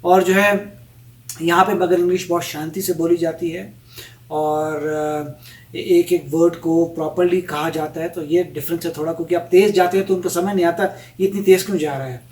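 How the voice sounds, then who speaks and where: A man with a fairly high voice chats calmly in a casual vlog, drops English phrases in between, and pauses with fillers. He sounds set back from the mic. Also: slight background noise. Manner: measured, mixes in English phrases, thinks aloud with fillers. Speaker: co-host of a reaction vlog. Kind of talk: casual chat. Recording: voice off mic, from a distance.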